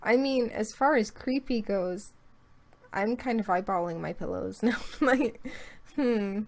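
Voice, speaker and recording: A woman's voice: mid-range voice, unhurried, creaky, darkish tone. A woman guest in a casual chat about horror stories, playful and chatty, joking and swearing now and then. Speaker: guest co-host.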